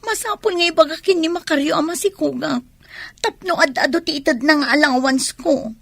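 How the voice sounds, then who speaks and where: A woman's voice: high-pitched, a little fast, vocal fry, a bit bright, no room sound. A young woman with a Filipino accent acting an anxious, scheming student in a comedy radio drama. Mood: insistent, scheming.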